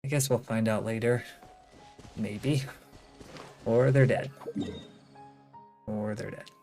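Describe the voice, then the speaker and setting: A woman with an extremely low voice chats casually as she streams a game. She rambles and mutters to herself, with faint music playing behind. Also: fairly even intonation, slow pace, warm tone. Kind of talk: casual live gameplay commentary.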